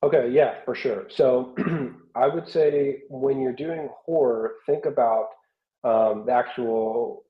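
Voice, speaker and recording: A man's voice: medium pitch, slow pace. An American man in his thirties explaining things informally as an art instructor in an online workshop, talking through examples. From South Carolina, United States. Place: small home room, indoors. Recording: roomy, slightly dull webcam audio.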